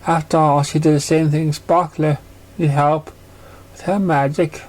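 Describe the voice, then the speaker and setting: A man's voice: on the high side, flat delivery, unhurried, darkish tone, rough recording. A man voicing a kind, reassuring grown female pegasus pony in a story. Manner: reassuring, patient.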